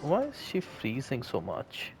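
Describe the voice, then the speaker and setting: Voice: mid-range voice, wildly swooping pitch, a little slow, soft background music, poor audio. A gaming video commentator giving casual remarks over gameplay.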